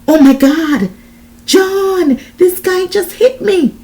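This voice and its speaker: Female reader as desperate woman victim, pleading, crying out, raised pitch, dramatic storybook delivery. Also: slow pace, warm tone, exaggerated voice. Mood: panicked. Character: terrified woman begging for her life. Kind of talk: dramatic audiobook reading.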